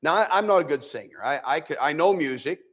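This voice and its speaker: An older man from the American Midwest with a nasal voice, preaching a sermon as pastor in a folksy, animated way with many restarts. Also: a little high, fast talker. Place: church.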